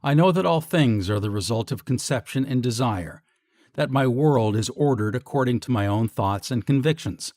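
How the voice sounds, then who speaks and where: A man narrating scripted guided meditation affirmations in a measured, declarative way. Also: mid-range voice, animated, darkish tone, no room sound, clean studio sound.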